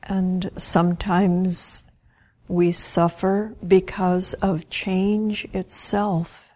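A woman guides a meditation in a slightly low voice. Her delivery is very slow and gentle. She speaks in short phrases and leaves long, unhurried pauses between them. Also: little pitch movement. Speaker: meditation teacher.

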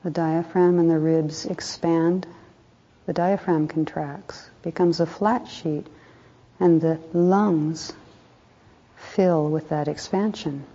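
A woman meditation teacher leading a guided meditation in a soft, calm voice, unhurried, with pauses between short phrases. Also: deep voice. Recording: dull, muffled lo-fi recording.

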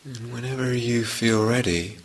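A man teaching a guided meditation, giving gentle, unhurried instructions in short phrases with long pauses. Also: mid-range voice, fairly even intonation, poor audio.

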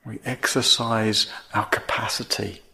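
A man teaching a guided meditation in measured phrases, with long pauses between them. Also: fairly low pitch, level tone, gravelly, bright, forceful tone, poor audio.

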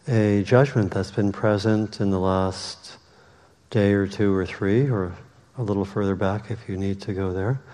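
A man leading a guided meditation as the teacher, speaking in a measured way with long pauses. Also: lowish voice, dark tone. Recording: long reverberant hall echo.

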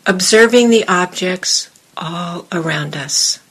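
A woman with a slightly low voice leads a guided meditation. She speaks very slowly, in short measured phrases, and leaves long pauses between them as a teacher giving instructions. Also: breathy edge.

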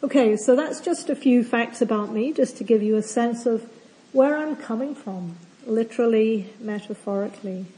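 A woman meditation teacher giving a calm, gentle opening talk at a retreat. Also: on the high side, normal speed, rough recording. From not from Australia. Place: retreat centre hall.

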